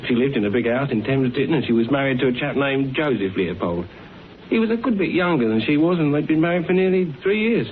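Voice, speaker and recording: A man's voice: medium pitch, lively intonation, fast, natural voice. A man acting a chirpy young British detective's assistant in a radio drama, breezy, slangy and matter-of-fact. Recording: thin narrowband radio recording, faint noise.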